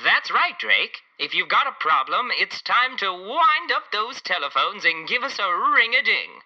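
A man's voice: high voice, very animated, measured pace. A man playing a chipper old-time radio advice host, peppy, folksy and showy, in a 1940s broadcast parody. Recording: dull lo-fi radio sound.

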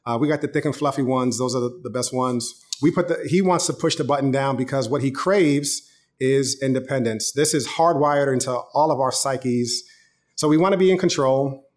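Man, thirties, pastor, online sermon, relaxed storytelling, mid-pitched voice. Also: normal speed. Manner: casual, conversational preaching with storytelling.

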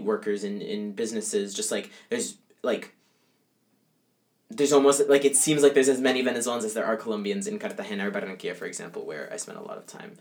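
A young male college student answering interview questions casually, with frequent fillers and restarts. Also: on the low side. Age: young adult. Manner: conversational, many fillers like "like" and "um".

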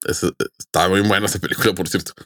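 Mexican guy hosting a podcast, low voice, jokey storytelling, dry close sound. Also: fairly even intonation, fast, fairly bright tone, no room sound. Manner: jokey, colloquial. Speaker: podcast host. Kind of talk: casual podcast storytelling with friends.

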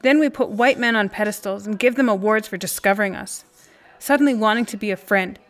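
A woman's voice: mid-range voice, a little fast, close to an everyday voice. A woman acting as an earnest, indignant friend talking emphatically over coffee in a naturalistic audio drama.

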